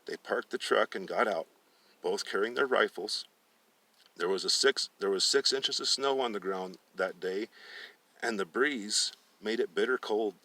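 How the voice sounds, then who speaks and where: A man's voice: on the low side, a little slow, pushed and bright, no echo, recorded from a distance. A man narrating fiction aloud as a storyteller, often stumbling and rereading phrases. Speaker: storyteller reading a book aloud.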